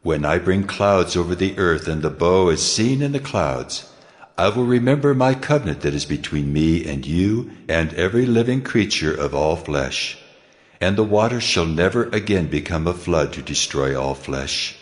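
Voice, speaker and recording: God in a Bible audio drama. The voice is very low and commanding, with solemn authority. It rings out in a long, cavernous reverb. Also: male voice, animated, normal speed. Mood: solemn, promising.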